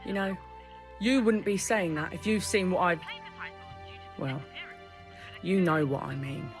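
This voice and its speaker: A woman's voice: lowish voice, lively intonation, measured pace, over music. A woman playing a breezy, cheeky grown-up daughter with a casual manner in an audio drama.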